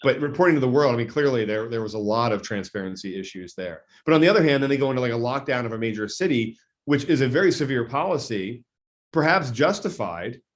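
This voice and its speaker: Male legislator guest, mid-pitched, deliberate, self-correcting answers. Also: expressive. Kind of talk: interview answers.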